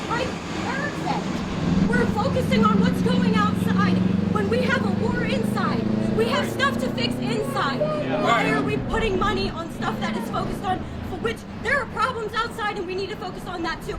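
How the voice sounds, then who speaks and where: Angry high-voiced woman at a loud rally. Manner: angry, forceful. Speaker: protest speaker. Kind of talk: impassioned street rally speech. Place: outdoors on a city street. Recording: distant mic, loud crowd and traffic noise.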